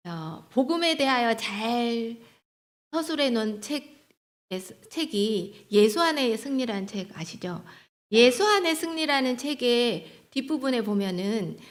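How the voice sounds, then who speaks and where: A woman lecturing at a church training, teaching and exhorting, posing questions to her listeners and answering them herself, often checking for agreement. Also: on the high side. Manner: asks the audience rhetorical questions, then answers them herself; seeks agreement with short tag questions. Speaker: lecturer at a church sex-values training for leaders.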